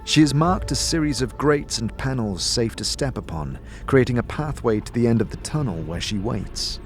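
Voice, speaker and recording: Guy narrating a fantasy audio story, mid pitch, measured n dramatic, music going behind him. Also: animated. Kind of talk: scripted dramatic second-person fantasy narration.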